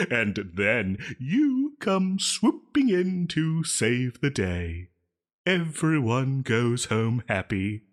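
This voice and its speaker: An obsessive male supervillain in an audio roleplay. His pitch swoops up and down over slow, savoured phrasing. He is theatrical and giggly, mixing mock politeness with flirtatious menace. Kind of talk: solo audio roleplay addressed to listener.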